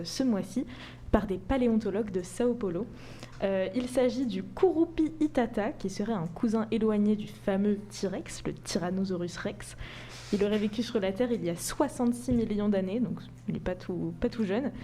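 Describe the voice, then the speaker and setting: Young female columnist, mellow timbre, chatty and self-mocking delivery. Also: mid-range voice, a little hiss or hum. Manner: chatty, laughs easily, self-deprecating asides. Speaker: podcast columnist. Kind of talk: presenting a science segment.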